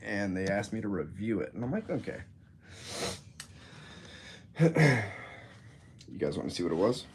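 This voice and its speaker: A man's voice: lowish voice, big pitch swings, unhurried, long pauses, a little mellow, a little hiss or hum. A young man with a congested, nasal voice, chatting casually and rambling with jokes on a livestream from his room. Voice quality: nasal, stuffy. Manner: rambling, joking, easily sidetracked. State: congested from a cold.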